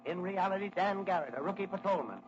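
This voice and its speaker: High, declamatory vintage radio serial announcer. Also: male voice, lively intonation, fast talker, over loud music. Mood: grand, portentous. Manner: declamatory, grand. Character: portentous announcer heralding a masked hero. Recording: muffled, noisy 1940s radio recording.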